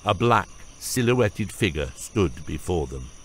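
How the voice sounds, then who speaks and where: A man's voice: sits low, animated, slow, very noisy. A man narrating a fantasy audiobook from a script.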